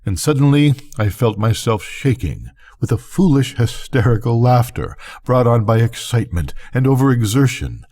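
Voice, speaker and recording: An older man reading a bedtime story as scripted narration, with measured, deliberate phrasing. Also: medium pitch, lively intonation, warm tone. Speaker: reader of bedtime stories.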